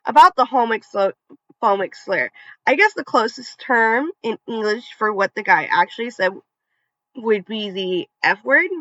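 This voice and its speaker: A storyteller with a bright, forceful tone reads online revenge posts in a chatty way, tripping over a word here and there and restarting it. The voice sits close and dry, with no room sound, and the recording is a little dull and lo-fi. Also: mid-range voice, animated, unhurried, no echo. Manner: conversational reading, trips over words and restarts. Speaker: story channel narrator. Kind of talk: reading online revenge stories aloud with casual asides.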